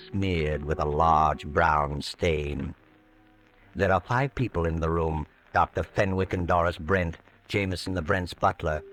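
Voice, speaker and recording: An actor playing a sinister, taunting narrator in an old-time radio suspense drama, mocking and ominous like a menacing inner voice. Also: male voice, low-pitched, a bit bright, rough recording.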